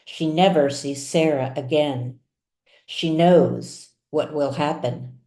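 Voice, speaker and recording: A woman reading her own fiction aloud as scripted audiobook narration, with measured, deliberate phrasing and dramatic pauses. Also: deep voice, dark tone, reverberant. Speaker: author reading her own serialized novel.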